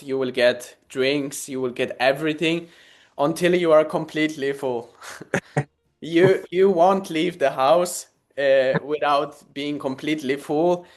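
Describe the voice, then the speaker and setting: A young man from Poland, a Polish native speaker, answering interview questions hesitantly with many fillers and easy laughs. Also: fairly high pitch. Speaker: guest.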